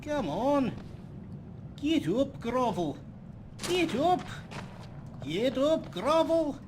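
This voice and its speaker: A man's voice: very high-pitched, big pitch swings, extremely slow, noisy background. A man hosting a playful let's play, with a wry, mock-grandiose way of commenting. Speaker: gaming video host.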